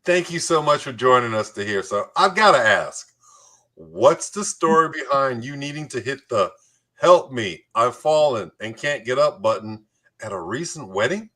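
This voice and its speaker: Guy hosting a local talk show, bright punchy voice, jokes around between intros. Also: medium pitch, lively intonation, normal speed. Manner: jokey, playful. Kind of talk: hosting a community talk show, part scripted introductions, part banter.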